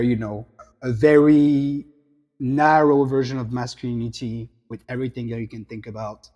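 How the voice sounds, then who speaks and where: A middle-aged man with a French accent speaks as a reflective interview guest, at an average pitch. He talks over a video call from a room at home, and his voice carries a long reverb. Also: darkish tone. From south of France. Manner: reflective, gesturing hands while talking. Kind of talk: interview conversation.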